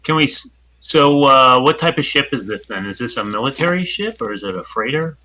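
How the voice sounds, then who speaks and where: A man with a very bright, sharp-edged voice plays in a sci-fi tabletop session. His pitch sits in the ordinary middle range. He asks the game master orderly, polite questions about what his character can do. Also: lively intonation, fairly quick. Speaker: player. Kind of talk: casual tabletop play.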